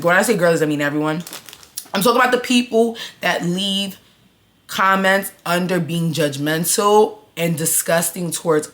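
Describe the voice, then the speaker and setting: A young woman in her early twenties with a low voice, talking straight into her phone camera. She talks to her viewers in a blunt, emphatic way, as if chatting with friends. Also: a bit bright. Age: 20s. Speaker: vlogger. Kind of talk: casual vlog talk to camera.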